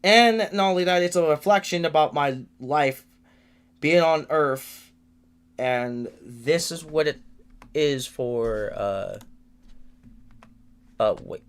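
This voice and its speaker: A man's voice: fairly high pitch, takes it slowly, pauses often, clear, resonant tone. A nineteen-year-old man giving a rambling livestream update from a room in his family home, venting with frequent restarts and repeated words. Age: late teens. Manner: frustrated, venting.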